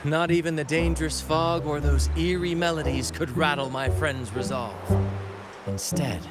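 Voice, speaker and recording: An actor playing a theatrical young pirate captain in an anime game, telling tales with grandiose stage flair. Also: male voice, on the high side, normal speed, close to an everyday voice. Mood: dramatic storytelling. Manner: grandiose, dramatic stage delivery. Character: theatrical young male pirate captain and showman, flamboyant storyteller. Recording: loud music behind.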